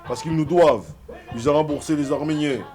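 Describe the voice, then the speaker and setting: A man's voice: fairly high pitch, a little fast, dark tone, soft background music. A man speaking casually but insistently in an interview, hammering points by repeating them. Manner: insistent, repetitive. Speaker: street interviewee. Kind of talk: impassioned casual talk.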